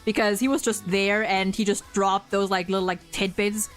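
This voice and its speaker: A woman streamer chatting casually in an animated, rambling way, emphasising points by repeating words. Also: mid-range voice, moderate pace, faint music behind, poor audio. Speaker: stream host. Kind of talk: casual chat, ranking game story quests.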